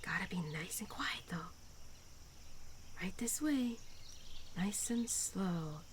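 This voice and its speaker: A woman playing a cheerful, playful, nurturing young spirit of spring in an audio roleplay, affectionate and gently teasing as she speaks to the listener. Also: mid-range voice, lively intonation, takes it slowly, a little hiss or hum. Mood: hushed, gentle.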